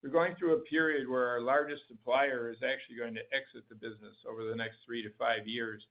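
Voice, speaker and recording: A man's voice: medium pitch, steady tone, brisk. A man giving a matter-of-fact business update on a conference call. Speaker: company executive. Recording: dull lo-fi call audio.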